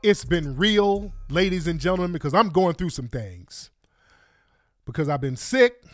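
Congested high-voiced man ranting. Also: big pitch swings, measured pace, no echo, studio quality, soft background music. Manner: blunt, profane, exasperated. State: congested from a cold. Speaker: online commentator. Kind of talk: ranting commentary to viewers.

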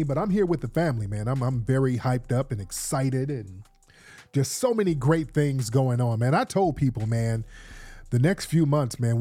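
A man's voice: medium pitch, dark tone. A man hosting a late-night live show, congested, talking in a hyped, casual way and repeating himself. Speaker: host.

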